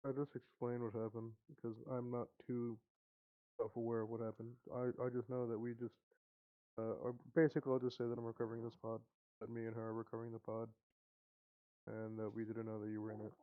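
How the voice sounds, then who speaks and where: A man's voice: medium pitch, completely flat delivery, fairly quick. A man playing a tabletop roleplaying game, chatting casually about his character's actions over an online voice call. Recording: tinny narrow-band voice call.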